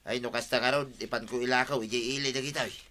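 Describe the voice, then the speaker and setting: An actor playing a scheming, hot-tempered gambler husband in an Ilocano radio comedy-drama, with exclamatory delivery. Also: male voice, medium pitch, fairly even intonation, fast, pushed and bright, close to an everyday voice. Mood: sly, persuasive.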